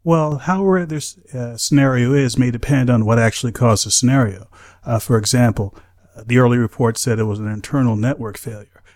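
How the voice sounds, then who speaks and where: An American man speaking as an aviation safety analyst, in a measured, careful, explanatory way. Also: medium pitch, a little mellow. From United States. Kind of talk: measured explanatory interview talk.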